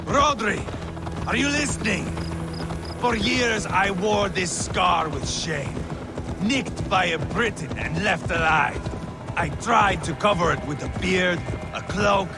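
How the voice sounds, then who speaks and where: A man's voice: high voice, slow pace, creaky, over loud music, exaggerated voice. A man acting a cruel, theatrical Viking warlord in a video game, gloating and mocking as he savors his words. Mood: taunting.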